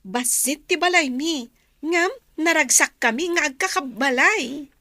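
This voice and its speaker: An actress playing a friendly, modest young coworker in a radio drama, with an Ilocano accent. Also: female voice, sits high, unhurried, sharp tone, no room sound, clean studio sound, heavily acted. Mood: friendly, inviting.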